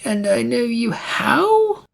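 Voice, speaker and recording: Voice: medium pitch, big pitch swings, takes it slowly, creaky, voice pushed up, full character voice. A narrator voicing a haughty, paranoid fantasy prince in an imperious, indignant manner for a story. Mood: suspicious.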